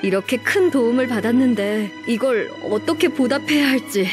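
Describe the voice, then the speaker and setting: A woman's voice: a little high, lively intonation, moderate pace, music in the background, lightly acted. A woman playing an earnest, polite young secretary in a video game, heartfelt and a little hesitant toward an admired senior colleague. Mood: grateful.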